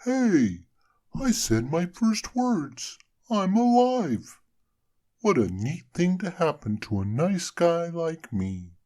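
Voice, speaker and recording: A reader voicing a cheerful snowman who has just come to life in a storybook, slow and drawn-out. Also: mid-range voice, pitched above the performer's own voice. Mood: jolly. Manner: slow, drawn-out, excited exclaiming. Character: jolly newborn snowman, friendly and cheerful.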